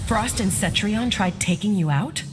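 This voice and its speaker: An actress playing a tough, confident young soldier in a video game, answering with firm, punchy retorts. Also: female voice, medium pitch, normal speed, very noisy, close to an everyday voice. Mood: earnest, firm. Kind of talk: video game dramatic voice acting.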